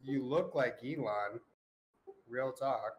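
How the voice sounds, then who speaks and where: A man's voice: mid-range voice, slow pace, rough recording. A man in his forties chatting casually, loose and profane, teasing the others with jokes. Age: 40s. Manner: loose, profane, joking banter. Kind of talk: casual group chat.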